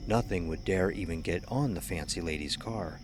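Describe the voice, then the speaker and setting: A man narrating a scripted horror story in a measured, ominous, dramatic storytelling manner. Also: sits low, a little hiss or hum. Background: music under the voice.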